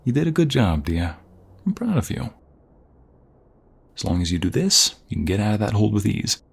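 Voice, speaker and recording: A man playing a protective, flirtatious mobster husband in an ASMR roleplay, gravelly and husky, coaxing his partner with affection. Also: lowish voice, big pitch swings, normal speed, heavily acted. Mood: warm, proud.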